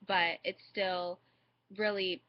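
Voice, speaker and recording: A woman's voice: on the low side, flat delivery, slow. Congested young woman with a cold. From United States. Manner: hesitant, many fillers and restarts. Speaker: student giving a class speech. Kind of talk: informal recorded speech. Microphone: webcam microphone. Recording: dull lo-fi webcam audio.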